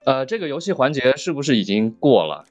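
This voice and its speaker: A man with a mid-pitched voice and very varied intonation banters casually as a show guest. There is faint music in the background. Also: sing-song, fairly quick, a little mellow, dull audio. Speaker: voice actor guest.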